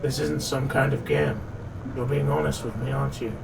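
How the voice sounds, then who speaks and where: A man playing a stern, suspicious city boss in a noir audio drama, gravelly, measured and condescending. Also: mid-range voice, level tone, recorded from a distance, background noise.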